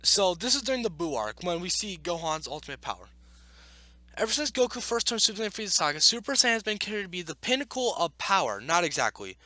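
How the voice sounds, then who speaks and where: A man hosting a video, reading aloud and arguing back with blunt, opinionated off-the-cuff commentary in a halting, self-correcting way. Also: high voice, very animated, bright, forceful tone, no echo. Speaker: video host reacting to an article.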